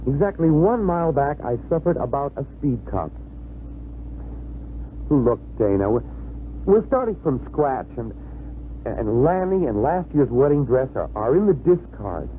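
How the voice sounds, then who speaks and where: Wry, stubborn young suitor, mid-pitched with big swings, 1950s radio drama, tinny and faintly noisy. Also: male voice, very animated, measured pace. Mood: wry, teasing. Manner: wry, self-assured. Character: suave, stubborn, teasing young fiancé.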